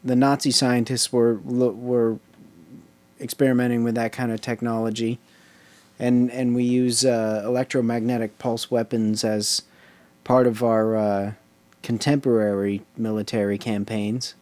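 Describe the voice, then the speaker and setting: A man co-hosting a casual podcast chat, asking questions and speaking in halting restarts. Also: mid-range voice, little pitch movement, a little mellow, dry recording. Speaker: podcast co-host.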